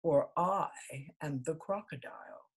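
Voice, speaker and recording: A woman voicing a sly, menacing crocodile in a storybook, with a drawn-out, coaxing delivery. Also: low voice, slightly rough, heavily acted.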